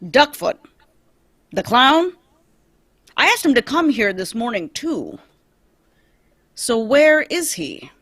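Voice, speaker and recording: A woman's voice: fairly high pitch, sing-song, slow, long pauses, fairly bright tone, no room sound, clean studio sound, heavily acted. A woman reading a blunt male police detective in a live old-time radio table read, firm and questioning. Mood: stern.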